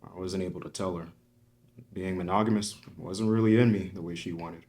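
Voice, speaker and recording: An actor reading the part of a guilt-ridden man confessing quietly at a screenplay table read. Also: deep voice, fairly even intonation, a little fast, natural voice. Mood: guilty, confessional.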